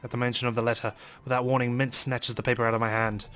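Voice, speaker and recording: Let's play host: man, lowish voice, very quick dramatic reading, faint music behind. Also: little pitch movement, a bit bright. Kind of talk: reading game narration aloud dramatically.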